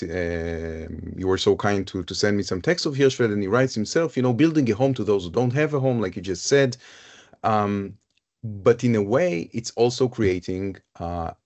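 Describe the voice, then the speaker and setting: Low-voiced thoughtful man moderating a talk. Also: a little mellow. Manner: thoughtful, searching, repeats phrases. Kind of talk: live panel conversation.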